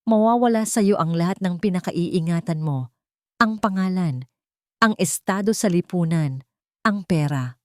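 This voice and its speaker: A woman's voice: on the low side, no room sound, natural voice. Woman acting a cold, commanding executive, close and dry. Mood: icy judgement. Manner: cold, stern, measured.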